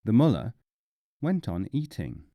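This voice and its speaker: A man narrating short tales as an audiobook, with measured, deliberate phrasing and dramatic pauses. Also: on the low side, sing-song, creaky, dark tone, no echo, clean studio sound. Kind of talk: scripted storytelling narration.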